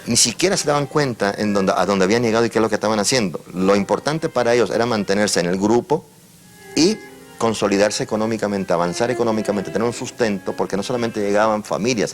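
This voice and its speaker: A man interviewed for a documentary, explaining things fluently with small fillers. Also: fairly low pitch.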